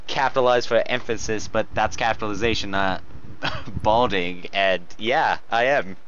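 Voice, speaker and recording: A man's voice: fairly low pitch, measured pace, fairly bright tone, highs cut off, a little hiss or hum. A man reading fan fiction aloud, giggly and often cracking up between joking asides. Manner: giggly, often breaking into laughter while reading. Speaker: fan fiction co-reader and commentator.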